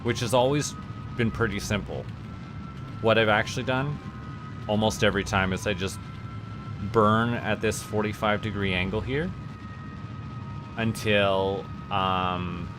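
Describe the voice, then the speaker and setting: A man giving casual livestream game commentary, thinking aloud as he goes. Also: fairly low pitch. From Canada. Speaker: game streamer.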